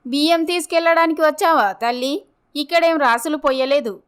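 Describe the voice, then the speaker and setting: A woman's voice: high voice, brisk, fairly bright tone. A woman voicing a mean, scornful older mother-in-law in a story, sarcastic and dismissive.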